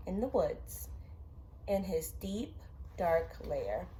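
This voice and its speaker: A woman reading a children's story aloud with dramatic, drawn-out emphasis. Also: fairly low pitch, sing-song, warm tone, a little hiss or hum, poor audio. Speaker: storybook reader. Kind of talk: expressive children's read-aloud.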